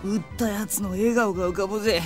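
A man's voice: high voice, fairly even intonation, fast talker, soft background music, close to an everyday voice. A man playing a blunt, wisecracking teenage schoolboy in an audio drama, speaking casually and expressively. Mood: wry. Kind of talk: Japanese audio drama acting.

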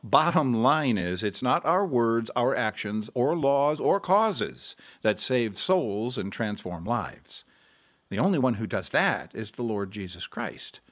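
Bible-study pastor: mid-pitched, expressive, patient yet firm, radio drama. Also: male voice, slow pace. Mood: firm, encouraging. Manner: instructive, emphatic.

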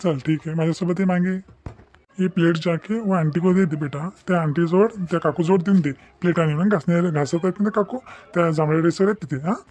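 A man as a high-voiced kindly shop boss. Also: steady tone, a little fast, a little mellow, natural voice. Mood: kindly, approving. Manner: repetitive, avuncular, mixing Hindi and Marathi. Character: kindly, exasperated adult male snack-shop boss. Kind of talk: animated moral story dialogue.

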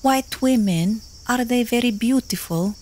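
A woman's voice: on the high side, a little slow, a little mellow, a little hiss or hum, close to an everyday voice. An actress playing a passionate, jealous young island woman in an audio drama, teasing and pleading with her lover.

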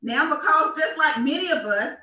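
A woman preacher speaks in a high voice, giving an emphatic sermon with deliberate repetition. She is heard at a distance in a small, echoey church. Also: expressive, bright, forceful tone. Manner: emphatic, repeats phrases for effect. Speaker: minister. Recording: echoey, dull church livestream from off.

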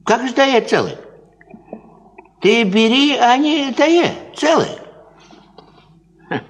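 An actor playing a pious, simple old peasant who stammers and stumbles over filler words in a 1950s Soviet radio play. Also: male voice, very high-pitched, big pitch swings, heavily acted. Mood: doubting. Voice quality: halting, tongue-tied, full of filler words. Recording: 1950s radio recording, long reverb.

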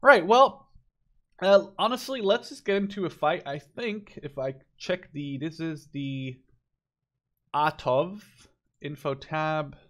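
A man's voice: a little high, slow pace, fairly bright tone. A man giving casual, unscripted commentary on a game as he plays, informally thinking aloud. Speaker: gameplay video host.

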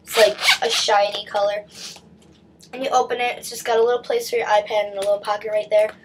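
A woman's voice: mid-range voice, level tone, quick pace, poor audio. Teen girl rambling about her haul, stuffy nose from a cold, webcam mic, bit of background hiss. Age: teens. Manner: chatty, many likes and restarts. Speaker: vlogger. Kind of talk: casual haul video chat. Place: small bedroom indoors. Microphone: webcam at desk distance.

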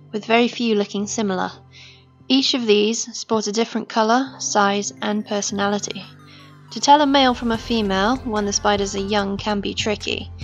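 Hoarse young woman explaining pet care. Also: medium pitch. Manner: steady explanatory delivery. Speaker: pet-keeping channel host. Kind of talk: informative pet-care narration. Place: home room with reptile tanks.

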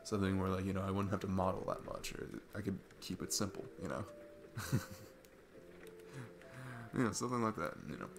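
A man's voice: low-pitched, fairly quick, pauses often. A young man playing an easygoing, earnest divorced dad on a date, chatting in a casual, rambling ASMR roleplay style. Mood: casual, playful. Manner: rambling, informal, chuckling often. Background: music.

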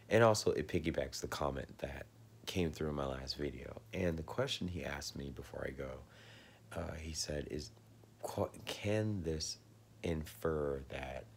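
Male vlogger, 30s, hoarse and losing his voice, very deep, husky half-whispers, phone at arm's length. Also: a little hiss or hum. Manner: often drops to a husky whisper, clears his throat. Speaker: vlogger sharing religious theories. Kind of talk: casual home video monologue. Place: living room at home, indoors. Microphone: phone camera at arm's length.

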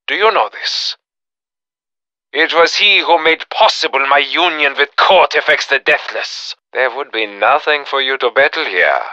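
An actor playing a cold, cruel, fanatical German military officer with a German accent, a theatrical and commanding video game villain. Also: male voice, mid-range voice, sharp tone, no room sound, lo-fi sound, heavily acted. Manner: theatrical, commanding, contemptuous, deliberate. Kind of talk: video game villain voice acting, menacing monologue.

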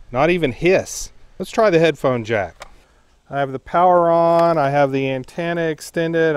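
Male review host, 50s, smooth clear voice on the higher side, measured delivery. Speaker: host of a product testing channel. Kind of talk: scripted review narration to camera.

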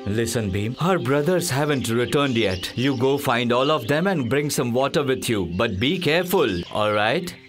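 An actor playing a caring, thoughtful eldest warrior prince, speaking earnestly in an animated mythological story. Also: male voice, medium pitch, natural voice. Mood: caring, cautious. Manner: earnest, deliberate, questioning. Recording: music behind.

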